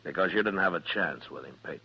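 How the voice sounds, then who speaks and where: Deep-voiced stern Western marshal. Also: male voice, fairly quick, vocal fry, slightly rough, heavily acted. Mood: firm, fatherly. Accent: American. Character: stern world-weary US marshal of the Old West. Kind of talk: 1950s radio western acting and narration. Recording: dull old radio recording, music behind.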